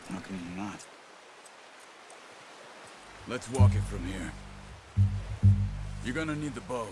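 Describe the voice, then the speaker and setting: A calm, seasoned Wild West tracker in a video game. He gives terse, patient instruction like someone used to teaching in the field. Loud music plays underneath. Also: lowish voice, takes it slowly. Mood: hushed, focused. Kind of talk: video game cutscene acting.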